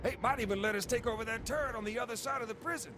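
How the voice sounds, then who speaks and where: A man playing a cool, easygoing robot soldier in a video game, slangy and quick with wisecracks. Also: extremely high pitch. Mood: casual, confident. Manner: slangy, laid-back, lively. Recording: clearly noisy game-scene background.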